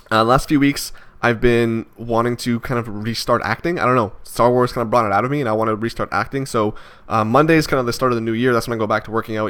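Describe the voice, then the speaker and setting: A man's voice: mid-range voice, a bit bright. A man in his twenties musing aloud in a vlog, hesitant and rambling, with many fillers and restarts. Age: 20s. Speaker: vlogger. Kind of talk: reflective casual monologue.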